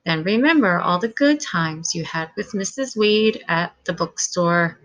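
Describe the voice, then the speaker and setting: A woman voicing a calm, encouraging mother in a children's storybook. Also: fairly low pitch, a little slow, poor audio. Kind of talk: children's storybook read-aloud.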